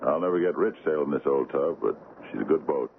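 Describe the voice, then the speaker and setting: Tinny radio play, a super deep easygoing steamboat captain, plain talker. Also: male voice, expressive, brisk, creaky, exaggerated voice. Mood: easygoing, content. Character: easygoing, plain-spoken old-tub tramp steamer captain. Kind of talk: radio drama acting. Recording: tinny old radio broadcast, faint noise.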